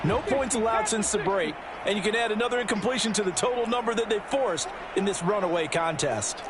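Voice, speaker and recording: An actor playing a knowing football color analyst in a video game, explaining in a folksy, conversational American voice. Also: male voice, sits high, lively intonation, a little fast. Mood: emphatic, knowing. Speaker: color commentator. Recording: loud stadium noise behind. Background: stadium ambience of a football video game.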